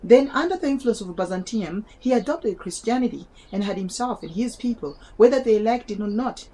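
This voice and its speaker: Woman, South African and Malawian English accent, non-native speaker, reading aloud, halting, repeats words. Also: on the high side, lively intonation, warm tone, rough recording. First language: not English. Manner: stumbles over words and repeats them, exclaims in wonder. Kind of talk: reading a history book aloud with asides.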